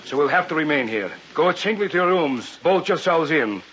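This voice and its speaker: An actor playing a secretive, authoritative doctor in an old-time radio drama, on a muffled, hissy recording. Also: male voice, fairly high pitch, lively intonation, moderate pace, fairly bright tone. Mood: grave. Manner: formal, authoritative, theatrical. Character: secretive doctor, commanding host of an island weekend.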